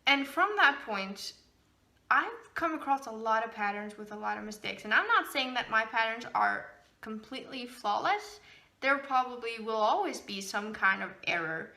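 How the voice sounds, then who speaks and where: A woman's voice: a little high, lively intonation, normal speed, creaky, pushed and bright. A woman whose native language is Norwegian, hosting a casual knitting podcast and chatting freely with frequent laughs and fillers. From Norway. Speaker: podcast host, knitting designer. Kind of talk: casual chat.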